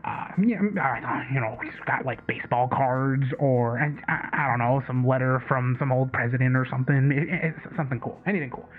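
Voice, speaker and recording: A man's voice: mid-range voice, brisk, few pauses, close to an everyday voice. An actor playing an agitated, obsessive conspiracy caller in a radio drama, stammering, repeating himself and swearing as he rambles. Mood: rambling, casual. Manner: stammering, repetitive, profane rambling. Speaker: caller on a late-night radio show. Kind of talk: audio drama acted as casual talk radio. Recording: tinny phone-like line with background music.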